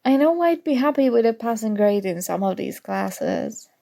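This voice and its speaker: A woman's voice: fairly high pitch, sing-song, normal speed, vocal fry. A young woman acting in an ASMR audio roleplay as a prickly but grudgingly fond student, teasing and defensive. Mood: flustered, defensive. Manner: teasing, defensive, flustered, soft-spoken tsundere.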